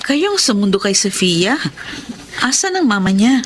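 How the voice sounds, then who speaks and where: A woman's voice: mid-range voice, measured pace, slight background noise. A woman acting a friendly, encouraging schoolteacher, polite in a television drama. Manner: polite, animated, warm encouragement.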